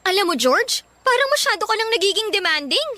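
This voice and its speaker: A woman's voice: very high voice, sing-song, a little fast, sharp tone, exaggerated voice. An actress playing an evasive, easily irritated young college woman in a Filipino radio drama, with a Filipino accent. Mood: irritated, snapping. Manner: exasperated, dismissive.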